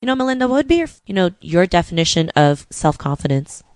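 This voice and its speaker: A woman's voice: on the low side, sing-song, fast talker, dry recording, studio quality. A woman hosting a podcast interview, chatting casually and often saying "you know" between thoughts. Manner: friendly, leans on "you know" fillers. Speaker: podcast host.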